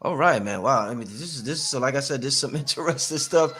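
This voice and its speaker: A man's voice: mid-range voice, expressive, quick pace, fairly bright tone, husky. A man hosting a live talk show, speaking casually and informally to his audience as family.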